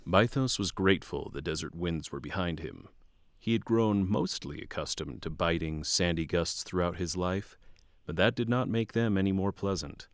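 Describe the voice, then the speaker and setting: A man's voice: sits low, moderate pace, dry recording, clean studio sound. A man reading scripted narration for a fantasy audio drama.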